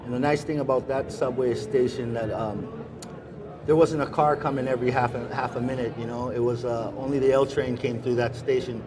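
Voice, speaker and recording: A middle-aged man from Hawaii, a musician, answering interview questions in a relaxed, rambling way with many pauses and restarts. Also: medium pitch. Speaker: musician guest. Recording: busy crowd noise behind.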